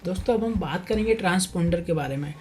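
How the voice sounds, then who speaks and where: A man's voice: sits high, fast talker, a little mellow. A man presenting an informal educational explainer, talking through points repetitively and addressing listeners as friends. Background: faint music.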